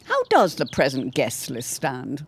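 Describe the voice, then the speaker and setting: A woman playing a chatty, gently reassuring middle-aged mother in a period audio drama, exclaiming as she goes. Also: very deep voice, wildly swooping pitch, a little slow, slightly rough, exaggerated voice. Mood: pleased, brisk.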